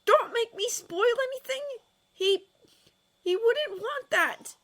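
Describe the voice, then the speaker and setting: A woman voicing a nervous, flustered teenage boy with a squeaky, stammering delivery in a story reading. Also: lively intonation, takes it slowly, a bit bright, exaggerated voice. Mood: desperate. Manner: stammering, spluttering.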